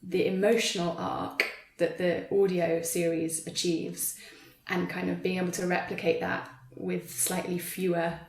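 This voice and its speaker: A woman's voice: fairly low pitch, level tone, measured pace, a little mellow. A woman in a thoughtful, unscripted discussion, talking in a tentative, reflective way and hedging as she goes. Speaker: theatre practitioner in a panel conversation. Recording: roomy, echoey sound.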